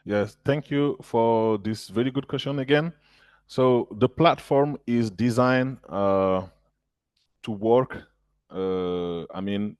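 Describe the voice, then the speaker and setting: Guy on a webinar call, hesitant, lots of uhs, dry low voice. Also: a little mellow, clear, resonant tone, no echo. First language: French. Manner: hesitant, many fillers and restarts. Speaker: agritech founder answering questions. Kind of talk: webinar Q&A answer. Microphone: video call.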